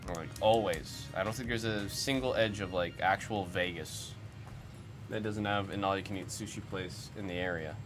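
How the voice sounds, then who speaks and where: A young man with a somewhat low voice hosts a livestream in a loose, chatty way. He rambles and puzzles aloud while answering viewers. Clear music plays under his talk. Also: moderate pace. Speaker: livestream host of card breaks. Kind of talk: casual chat reacting to viewer comments.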